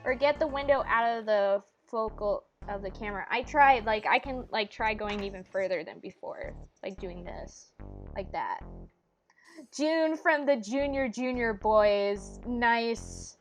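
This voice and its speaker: A woman's voice: mid-range voice, measured pace. A woman livestreaming a visual novel game, chatting casually and reading lines aloud with giggly, rambling asides. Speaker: streamer playing a visual novel. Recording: music playing behind. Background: video game music.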